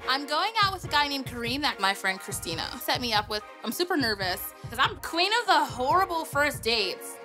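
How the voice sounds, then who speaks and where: A giggly young woman with a high, swooping voice, dishing about a date on reality television. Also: sing-song, normal speed, hardly any pauses. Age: young adult. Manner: giggly, chatty. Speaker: dating reality show participant. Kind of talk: chatty confessional and date talk. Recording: music behind the voice. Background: background music.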